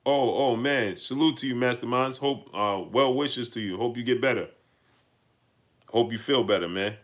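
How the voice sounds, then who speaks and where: A man with a New York accent hosts a live stream, greeting his viewers and answering their messages. His voice is bright and forceful, and he hammers his points by repeating them. He climbs to loud, heated peaks. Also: mid-range voice, moderate pace. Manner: emphatic, repeats lines, rises to heated peaks. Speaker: livestream host. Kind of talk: live-stream chat, reading super chats.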